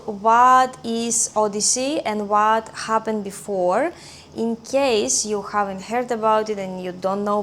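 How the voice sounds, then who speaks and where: A woman's voice: mid-range voice, a little hiss or hum. A young Greek woman with a Greek accent, chatting casually to camera in a vlog and thinking aloud with little restarts. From Greece. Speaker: vlogger.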